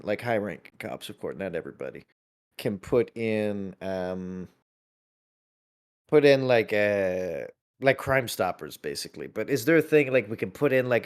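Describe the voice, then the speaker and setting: Guy w a lowish voice just chatting, halting, repeats himself while he figures stuff out. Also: clear, resonant tone. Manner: halting, repeats words as he thinks. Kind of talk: casual chat, thinking out loud.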